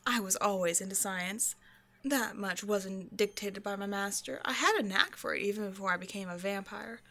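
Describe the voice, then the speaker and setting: A woman voicing a cold, century-old female vampire scientist in an audio roleplay, speaking in a dry, measured, condescending way straight to the listener. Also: mid-range voice, sharp tone. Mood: musing. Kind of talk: scripted ASMR roleplay monologue, speaking to a listener.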